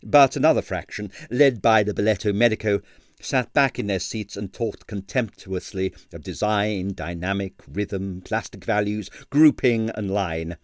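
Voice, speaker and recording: A man reading a comic 1930s detective novel aloud as dramatised narration, with expressive, wry delivery. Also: lowish voice, unhurried, no room sound, clean studio sound. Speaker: audiobook reader.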